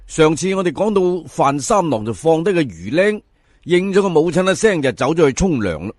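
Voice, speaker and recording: Animated Cantonese male storyteller, close dry sound. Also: fairly high pitch, a little fast, no room sound. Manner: theatrical, colloquial, addresses listeners directly. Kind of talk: dramatic folk-tale narration in episodes.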